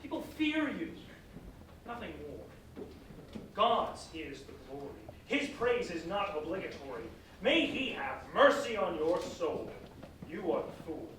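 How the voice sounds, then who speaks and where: A man playing a defiant, devout captive storyteller in a theatrical staged reading, with earnest, oratorical, measured phrasing. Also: sits high, big pitch swings, fairly bright tone, slight background noise, full character voice. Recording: roomy, distant live stage recording.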